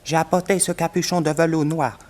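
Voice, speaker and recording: Ruthless, higher-voiced male accomplice acted. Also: little pitch movement, a little fast, lightly acted. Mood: grim, secretive. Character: businesslike, ruthless male accomplice in a night attack. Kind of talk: 1960s French radio play acting.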